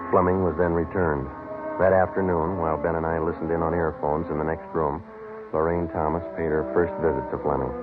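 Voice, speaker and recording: A deep-voiced, matter-of-fact police detective from a 1950s radio crime drama, played by a man. He talks in terse, deadpan sentences. The sound is narrow and dated, with music prominent behind him. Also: natural voice. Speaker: lead detective and narrator. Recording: muffled old radio broadcast, music behind.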